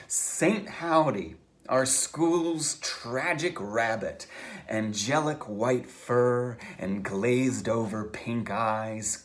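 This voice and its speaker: Low-voiced man giving a dramatic reading. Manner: conversational, halting, theatrical emphasis. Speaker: author reading from own novel. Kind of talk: dramatic first-person book reading.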